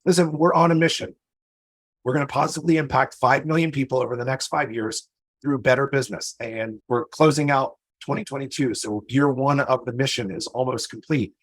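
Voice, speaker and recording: A man's voice: mid-range voice, steady tone, brisk, no room sound. A man hosting a podcast, upbeat and motivational, talking straight to his listeners while losing his voice. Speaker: podcast host. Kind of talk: energetic show opening.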